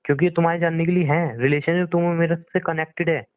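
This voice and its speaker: A man's voice: fairly high pitch, fairly even intonation, fast talker, no room sound, low-quality recording. A young man arguing in a private phone conversation, insistent and pleading, often repeating himself. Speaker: boyfriend on a phone call.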